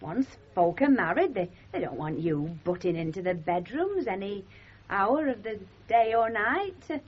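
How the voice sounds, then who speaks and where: A woman's voice: mid-range voice, sing-song, unhurried, husky. An actress playing a bossy, short-tempered middle-aged working-class mother with a Northern English accent and a scolding, commanding manner in a 1970s radio comedy. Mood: pointedly knowing. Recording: muffled 1970s radio broadcast recording.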